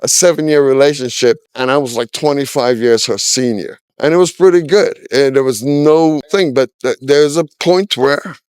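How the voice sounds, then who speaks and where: An older man in his sixties, originally from Trinidad and Tobago, talks frankly in a street interview and leans on "you know" as he goes. His voice is smooth and resonant at a middle pitch. The sound is dry and close. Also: normal speed, hardly any pauses, darkish tone, dry recording. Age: 60s. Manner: candid, opinionated, with frequent "you know" fillers.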